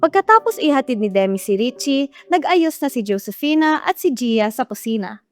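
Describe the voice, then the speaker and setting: A woman's voice: sits high, lively intonation, normal speed, faint music behind. A woman with a Filipino accent playing the narrator, reading scripted storytelling for an amateur radio drama. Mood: narrating.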